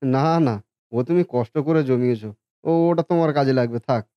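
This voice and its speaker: A man's voice: mid-range voice, moderate pace, dry recording, highs cut off, lightly acted. A man voicing a kindly, earnest married brother and husband in a Bengali family cartoon. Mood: grateful.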